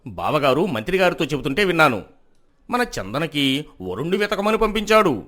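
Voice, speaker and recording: A queen's hot-headed younger brother acted by a man in a moral-story drama. His voice is fairly high and swings widely as he complains with bitter, theatrical grievance. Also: very animated, normal speed, fairly bright tone. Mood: aggrieved.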